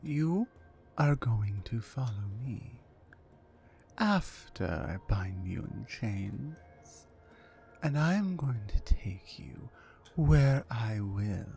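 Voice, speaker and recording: A woman playing a towering, mean, dominant orc captor in an ASMR roleplay, commanding and deliberate. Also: a bass voice, expressive, creaky, mellow, faint music behind, exaggerated voice. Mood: menacing. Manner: menacing, commanding, slow and deliberate.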